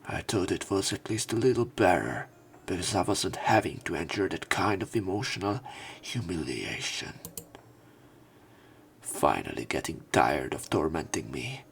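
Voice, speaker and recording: Deep, gravelly man narrating a game. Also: lively intonation, moderate pace, a little hiss or hum. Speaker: let's play narrator and commentator. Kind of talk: expressive read-aloud narration of a visual novel.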